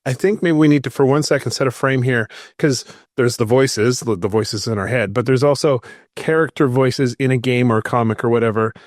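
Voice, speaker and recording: A man speaks as the host of an interview podcast. His pitch is average and the recording is dry. He sets up his questions in plain conversational speech. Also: a little fast, no echo.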